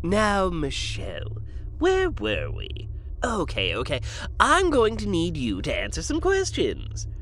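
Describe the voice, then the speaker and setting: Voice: medium pitch, wildly swooping pitch, takes it slowly, a bit bright, full character voice. An actor playing a smug alien interrogator and broadcast host in an audio drama, mock-polite, condescending and menacing.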